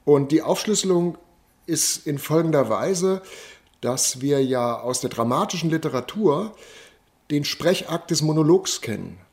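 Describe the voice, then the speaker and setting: A man with a somewhat high voice gives an analytical explanation as an interviewee. He speaks deliberately and refines his wording as he goes. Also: lively intonation, a little mellow. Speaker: theatre expert, interviewee.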